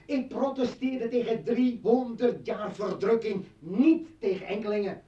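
Bitter, high-voiced revolutionary man, echoey. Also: unhurried, dark tone. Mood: impassioned, indignant. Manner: rhetorical, taunting, impassioned. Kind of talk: Dutch radio play acting. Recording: dull, echoey old radio recording, distant.

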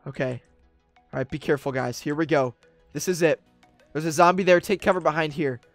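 A young man streaming a roleplay game, leading a team with urgent commands that swing from hushed whispers to shouts, recorded on a desk mic in a small room. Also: fairly high pitch, fairly quick, dry recording, faint music behind. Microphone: desk mic, webcam streamer.

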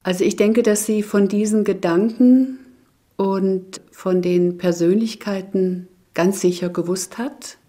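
Slow, mellow, low-voiced woman. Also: fairly even intonation. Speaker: chemistry professor, interviewee. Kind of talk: reflective interview speech.

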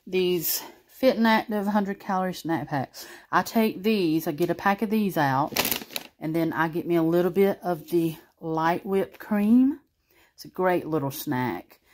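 A woman's voice: lowish voice, a little mellow, slight background noise. A woman showing her groceries at her kitchen table, chatty and homey, filmed close on a phone. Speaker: grocery haul vlogger. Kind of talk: casual show-and-tell narration. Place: kitchen table at home, indoors.

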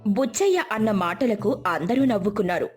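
A woman's voice: medium pitch, lively intonation, brisk, darkish tone, slightly rough, faint music behind. A woman narrator reading a scripted village moral story.

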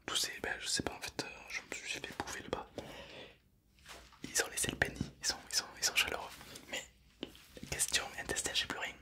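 A young man plays a calm, chatty zombie in a breathy, close-miked ASMR whisper. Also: lightly acted. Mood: wistful, rambling. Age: 20s. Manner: slow, hushed, rambling, playful. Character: calm, chatty, self-deprecating male zombie, mock-menacing. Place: indoor studio setup. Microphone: close stereo microphones either side of the head.

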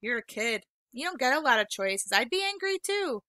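A woman reading the part of a calm, blunt woman who lives alone, speaking plainly and matter-of-factly. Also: high voice, normal speed, no echo, heavily acted. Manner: plain, matter-of-fact, dry.

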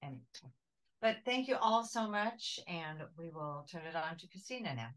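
An older woman in her sixties hosts casually over a video call. Her voice sits a little low, picked up by a computer mic in a home office. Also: lively intonation, moderate pace, a bit bright. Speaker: host. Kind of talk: casual event hosting.

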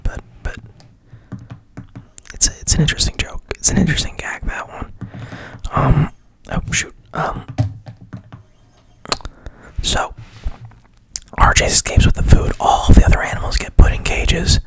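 A man recapping a film in a soft whisper, rambling, swearing casually and pausing with fillers. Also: fairly high pitch, gravelly. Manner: rambling, profane, hesitant.

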